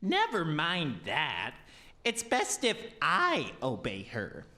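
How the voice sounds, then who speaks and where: A woman's voice: low voice, huge pitch swings, slow, bright, forceful tone, heavily acted. A woman playing a timid, fretful young boy in a radio play. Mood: resigned.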